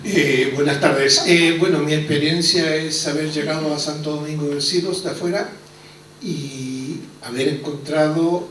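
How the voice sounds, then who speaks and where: A man from Chile with a slightly high voice talks at a panel, hesitant and full of fillers. He sounds far from the mic in an echoey hall. A faint hiss sits under his voice. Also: steady tone, a little slow, fairly bright tone. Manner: hesitant, many fillers and restarts. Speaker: hotel owner speaking at a panel. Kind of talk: unscripted public remarks.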